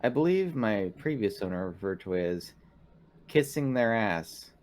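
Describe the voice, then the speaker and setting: Tabletop game android assistant, acted by a man: female character, polite, formal, exact, low register. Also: expressive, darkish tone, natural voice. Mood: polite, measured. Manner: measured, formal, clinically precise wording.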